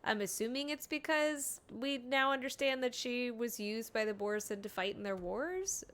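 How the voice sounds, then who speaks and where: Streamer: woman, higher bright voice, unhurried, casual and playful, musing reactions to the game. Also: clean tone. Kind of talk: casual live playthrough commentary.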